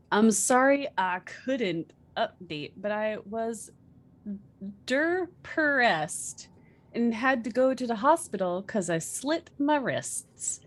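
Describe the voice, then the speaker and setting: High, animated, unhurried woman reader. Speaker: guest reader. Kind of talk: comic reading of author's notes, with casual chat.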